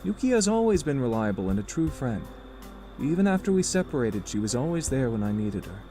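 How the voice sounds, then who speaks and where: An actor playing an easygoing middle-aged uncle in a visual novel game, fond and teasing, with a measured, wry delivery. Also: male voice, medium pitch, sing-song, mellow, faint music behind, close to an everyday voice. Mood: earnest, wistful.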